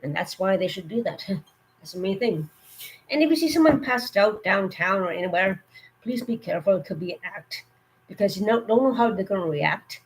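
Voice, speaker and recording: Woman live streaming with a cold, kinda hoarse, earnest and rambly, lots of ums, mid-pitched. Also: animated, moderate pace, slight background noise, poor audio. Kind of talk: casual live-stream talk.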